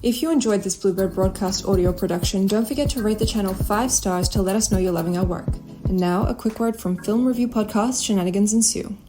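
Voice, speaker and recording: A woman's voice: medium pitch, fairly quick, hardly any pauses. A woman reading a scripted outro as the announcer of an audio theatre podcast. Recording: voice over prominent music. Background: music.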